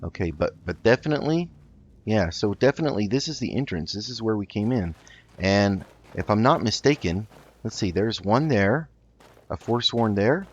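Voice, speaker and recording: A man doing casual game commentary, thinking aloud in an unhurried, musing way as he roleplays. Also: lowish voice, lively intonation, slightly rough, highs cut off. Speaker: gaming host.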